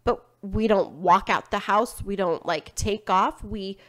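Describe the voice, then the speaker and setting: A woman's voice: medium pitch, unhurried, fairly bright tone. A young adult woman co-hosting a podcast in casual chat, saying "like" a lot. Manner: chatty, says "like" often. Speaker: podcast co-host. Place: home studio.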